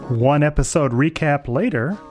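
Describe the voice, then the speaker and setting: A man's voice: fairly high pitch, expressive, normal speed, a little mellow, faint music behind, close to an everyday voice. A man playing a dry announcer who narrates a comic radio serial. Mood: announcing. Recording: noisy home-made recording with ambience.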